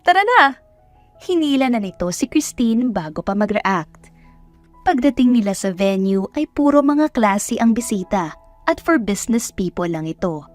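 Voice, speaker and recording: A woman's voice: medium pitch, expressive, moderate pace, a little mellow, faint music behind. A woman narrating a scripted romance audio drama as a storyteller.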